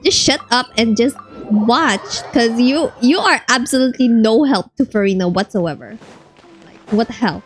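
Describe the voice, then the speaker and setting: Higher-voiced woman doing casual game reactions. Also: moderate pace, soft background music. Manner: informal, talks back to characters.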